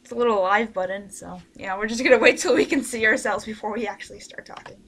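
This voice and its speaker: A woman's voice: medium pitch, quick pace, a bit bright. A woman hosting a casual livestream over a voice call, informal and rambling, with a bossy streak. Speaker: host and leader of an amateur radio play group. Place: online voice call.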